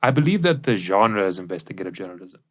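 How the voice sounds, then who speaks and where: A man's voice: sits low, very animated, fast talker, fairly bright tone. A man hosting a podcast and discussing things analytically. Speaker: podcast host.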